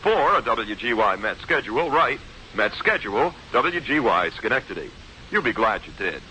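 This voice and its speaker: A man reads a radio promo in a sharp, very bright voice. His intonation is animated, moving widely up and down as he delivers the scripted copy. It is an old radio recording with faint noise behind him. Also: lowish voice, moderate pace, low-quality recording. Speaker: radio station announcer.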